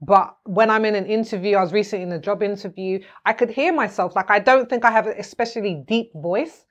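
A woman with a British accent hosting a casual panel discussion, speaking in an enthusiastic, expressive way. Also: medium pitch, fast talker, muffled recording.

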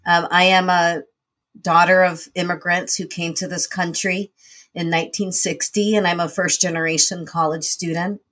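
A woman with a fairly low voice speaks in a relaxed interview. She tells her own story in an earnest, reflective way, with her pitch sitting a little under the usual. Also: normal speed. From United States. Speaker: guest, nonprofit founder and CEO. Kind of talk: conversational interview.

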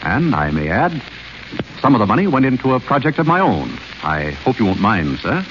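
An actor playing a polite, clever butler in a 1930s radio play, suave and understated. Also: male voice, fairly low pitch, huge pitch swings, moderate pace, a bit bright. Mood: composed. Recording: muffled old radio broadcast with faint hiss.

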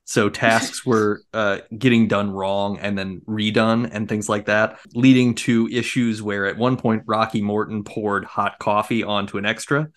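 A man's voice: fairly low pitch, level tone, measured pace, few pauses, fairly bright tone. A man co-hosting a podcast, chatting casually and wryly as he shares tidbits and mock quotes. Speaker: podcast co-host. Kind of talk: casual chat.

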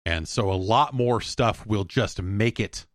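Low-voiced man, dry close sound. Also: unhurried, no room sound. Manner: rambling, profane, opinionated. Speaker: podcast host answering listener mail. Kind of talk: casual solo podcast chat.

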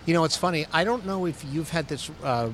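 A man's voice: fairly high pitch, fairly quick, fairly bright tone, slight background noise. A man hosting a casual interview, chatty and prone to storytelling with fillers. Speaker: podcast host.

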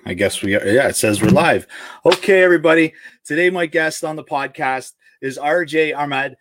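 A man hosting a casual live podcast interview, halting and rewording his questions as he goes. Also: on the high side, fairly bright tone, a little hiss or hum. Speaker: podcast host.

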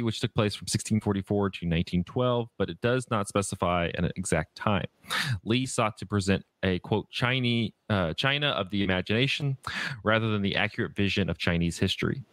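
A man with a fairly low voice hosts a film podcast, reading from prepared notes. His delivery is halting, with stumbles as he goes. The sound is dry, with hardly any room on it. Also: a bit bright, dry recording. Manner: halting, stumbles over words while reading. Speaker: podcast host.

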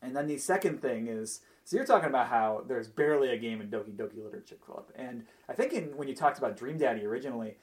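A man's voice: medium pitch, animated, fast talker, hardly any pauses. A man in a casual podcast chat about games, explaining things in a hesitant, rambling way full of filler words. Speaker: podcast panelist discussing video games.

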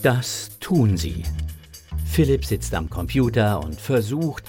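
A man's voice: lowish voice, very animated, slow, music in the background. A man narrating a children's audio drama in a scripted storybook style.

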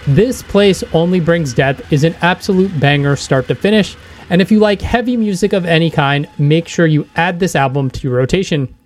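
A man's voice: fairly high pitch, lively intonation, normal speed. A man with an American accent, speaking as a podcast host and interviewer. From United States. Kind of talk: podcast narration and casual interview.

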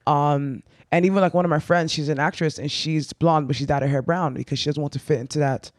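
A woman appearing as a guest performer on a podcast, answering earnestly and haltingly, with many restarts. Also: low-pitched, fairly even intonation, no room sound, studio quality. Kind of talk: casual interview chat.

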